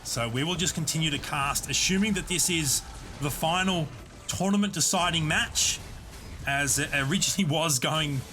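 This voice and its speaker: A man casting a live online game tournament, giving steady, explanatory play-by-play. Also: fairly high pitch, moderate pace, soft background music. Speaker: esports caster and streamer. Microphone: desk USB condenser mic.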